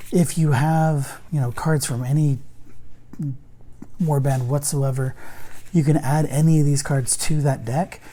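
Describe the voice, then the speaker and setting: Hoarse man rambling through a card review. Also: medium pitch, mellow. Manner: rambling, halting, with many ums and restarts. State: hoarse, losing his voice. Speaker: host reviewing a card game deck. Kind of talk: casual unboxing and card review.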